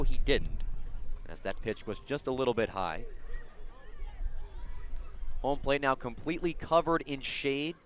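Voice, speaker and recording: A man doing live radio baseball play-by-play as the announcer, outdoors at a ballpark. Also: mid-range voice. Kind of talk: live radio sports commentary.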